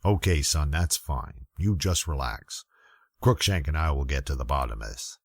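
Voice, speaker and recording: A man playing a gruff, sardonic veteran police lieutenant in a radio detective drama, curt and commanding. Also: a bass voice, expressive, normal speed, dry recording, studio quality, exaggerated voice. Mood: probing. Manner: curt, commanding, hard-boiled. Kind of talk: old-time radio detective acting.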